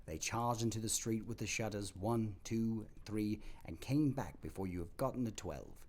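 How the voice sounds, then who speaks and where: A man narrating a classic story expressively and theatrically, with a husky voice. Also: on the low side, normal speed, darkish tone.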